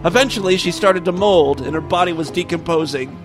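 A man's voice: fairly high pitch, fairly quick, over music, close to an everyday voice. A man voicing a manic, lecherous husband in a theatrical, grandiose comic story. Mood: confessional, desperate.

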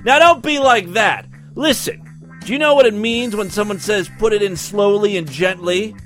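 A man's voice: high-pitched, normal speed, a bit bright, soft background music, pitched above the performer's own voice. A man voicing a brash, scornful, domineering woman who barks tart, impatient commands in a comic story reading. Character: brash, scornful, domineering middle-aged woman, sexually aggressive.

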